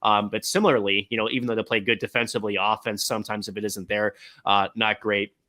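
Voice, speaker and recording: A man with a low but bright, tense voice talks hockey in long, filler-laden monologues. His delivery is casual and sure of itself. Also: flat delivery, fast. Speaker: podcast co-host, hockey broadcaster. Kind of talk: casual sports chat.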